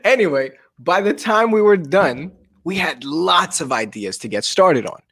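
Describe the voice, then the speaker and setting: A man's voice: fairly high pitch, expressive, unhurried, a bit bright, husky, no room sound, studio quality. A man reading a children's book aloud as a storyteller, with jokey, informal asides. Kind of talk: animated read-aloud with casual chat.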